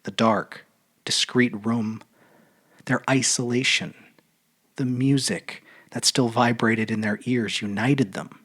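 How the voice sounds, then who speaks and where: A man narrating a literary short story aloud in an expressive, measured, dramatic audiobook style. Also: mid-range voice. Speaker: storyteller reading fiction aloud.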